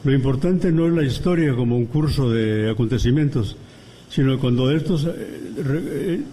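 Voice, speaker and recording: A man from Guatemala, giving an academic lecture as guest commentator at a book presentation, measured and deliberate, pausing between phrases. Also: medium pitch, warm tone, slight background noise, low-quality recording. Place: university auditorium.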